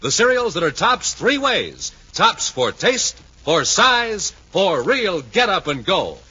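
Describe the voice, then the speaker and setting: Muffled old radio, American male announcer, forceful bright voice, dramatic, punchy emphasis. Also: high-pitched, big pitch swings, slow pace, slightly rough. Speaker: announcer and narrator. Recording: muffled, faintly noisy 1950s radio recording.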